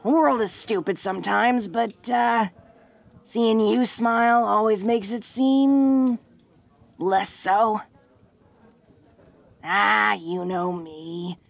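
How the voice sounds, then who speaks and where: A woman playing a tough but awkward, affectionate young orc woman in an intimate roleplay audio drama, talking in a folksy, halting way. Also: mid-range voice, vocal fry. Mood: tender, caring. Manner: folksy, halting tough-girl talk with small chuckles.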